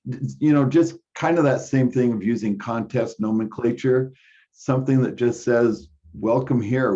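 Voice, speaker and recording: A man's voice: lowish voice, mellow. A man offering suggestions casually as a participant in a meeting. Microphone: remote teleconference call. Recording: dull, lo-fi conference call audio.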